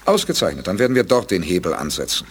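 Decisive space commander, animated intonation. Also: male voice, low voice, fast talker, a little hiss or hum. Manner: assured, directing others. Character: decisive male space commander, confident, probing leader. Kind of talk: 1980s German radio drama acting.

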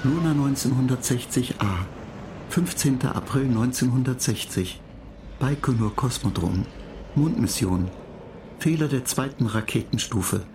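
An actor in a German radio play voicing a cool, superior computer mind, reciting in a detached, measured way. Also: male voice, medium pitch, lively intonation, noisy background. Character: cool, superior computer mind lecturing human space travellers. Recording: music behind the voice.

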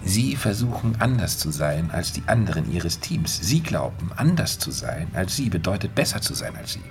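An actor playing a smooth, controlling coach and psychologist in a German radio drama, speaking in measured, deliberate phrases with weighty pauses. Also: male voice, low voice, very animated, full character voice. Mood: quietly menacing. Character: smooth, controlling male studio coach and psychologist, insinuating mentor.

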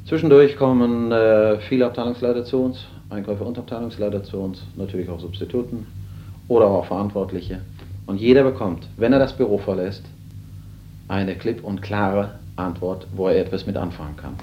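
A man's voice: fairly low pitch, brisk. A man, a department store manager, explaining his daily routine in an assured, decisive manner. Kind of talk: interview explanation. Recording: dull lo-fi tape with background noise.

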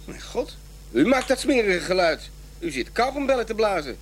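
A man's voice: high-pitched, big pitch swings, normal speed, slight background noise. An actor playing a blunt, proudly smoking male doctor in an audio drama, with a dry, sardonic and theatrical manner. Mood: sarcastic.

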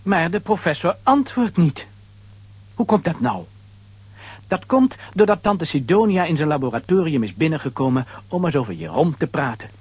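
A man's voice: on the high side, big pitch swings, normal speed, breathy edge, dry recording. A man narrating a children's audio story in a scripted, dramatic storytelling style.

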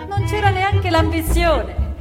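A woman with a high voice narrates a stage play in a rhythmic, expressive way that slips into half-singing. The recording comes from a live performance, with a long reverb and a violin clearly audible behind her. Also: takes it slowly, music in the background.

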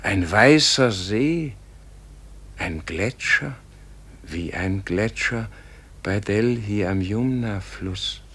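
An actor playing a frail, weary and bewildered old man in a radio play, speaking in halting, broken phrases. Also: on the low side, fairly bright tone, slightly rough, exaggerated voice. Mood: awed, searching. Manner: slow, halting delivery in short broken phrases. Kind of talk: German radio play acting.

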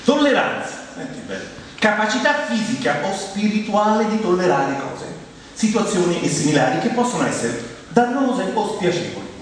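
A man's voice: high-pitched, sing-song, moderate pace. A man from Rome with a Roman accent, performing a humorous theatrical monologue in a conversational, emphatic manner. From Rome, Italy. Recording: echoey, distant, faint noise.

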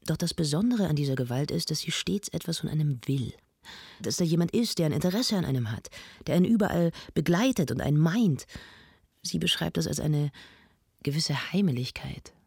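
An actor playing a measured, analytical arts presenter narrating a scripted radio feature with precision. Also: medium pitch, lively intonation, creaky, darkish tone, husky, no echo, studio quality. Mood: analytical, absorbed. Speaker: radio host.

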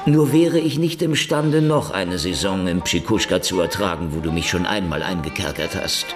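A man's voice: low voice, moderate pace, soft background music, natural voice. A man acting in a German radio drama as a brash, wisecracking, defiant intruder, needling and mock-polite. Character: brash, wisecracking, defiant male intruder interrogating KGB men at gunpoint.

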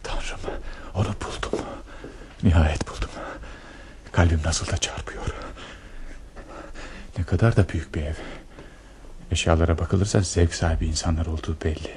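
An actor playing a nervous, hopeful, lovestruck army lieutenant in a theatrical radio drama style. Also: male voice, low-pitched, steady tone, darkish tone, a little hiss or hum. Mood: excited, yearning. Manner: theatrical, murmuring to himself, brisk when giving orders.

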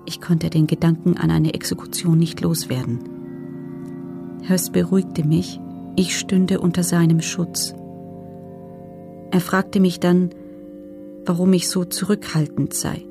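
An actress playing a guarded female prisoner in a documentary radio play, recounting her testimony in a restrained, measured, sober way. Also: on the low side, little pitch movement, creaky, over music.

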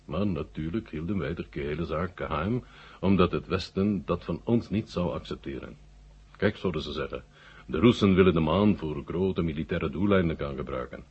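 A man playing a senior Soviet marshal in a 1970 Dutch radio drama, urbane and dryly ironic, speaking deliberately with a husky voice and thoughtful pauses. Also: low-pitched. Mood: measured, explaining. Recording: muffled old radio recording.

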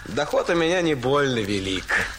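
A man's voice: mid-range voice, sing-song, moderate pace, a crowd in the background, natural voice. A man playing a jolly bast-shoe maker. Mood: cheerful. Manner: jolly, laughing often, eager to welcome. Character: cheerful poor male bast-shoe maker, hospitable. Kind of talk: audio fairy tale acting.

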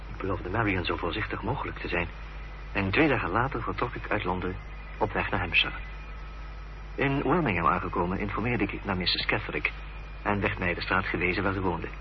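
An actor playing a gentleman narrator recounting a mystery in measured, literary radio drama narration. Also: deep voice. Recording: dull, noisy, humming old radio recording.

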